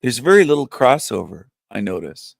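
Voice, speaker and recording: A man's voice: medium pitch, sing-song, normal speed, gravelly, crowd noise. An older man hosting a casual livestream, rambling and joking with a strong voice that sounds younger than his age. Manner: rambling, joking, laughs often. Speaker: livestream host. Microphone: webcam setup, camera off.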